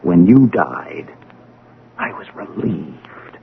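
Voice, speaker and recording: An actor playing a bitter, proud scientist son in an old-time radio drama, with a theatrical, emphatic delivery. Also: male voice, low-pitched, sing-song, extremely slow, vocal fry, narrow, tinny audio, a little hiss or hum, heavily acted. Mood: bitter, defiant. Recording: band-limited radio drama recording.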